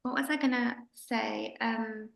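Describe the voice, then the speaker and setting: A woman talks on a group video call with a highish, chatty voice. She is excitable and casual. Her sound bounces around an echoey room. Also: measured pace, creaky. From Northern Ireland.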